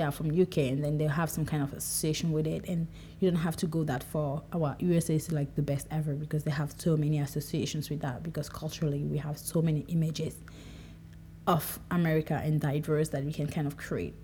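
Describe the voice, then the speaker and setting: A young woman from Cameroon speaking in a relaxed, reflective monologue, livening up when she quotes herself. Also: low voice, a little hiss or hum. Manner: conversational, animated when quoting herself. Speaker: podcast speaker.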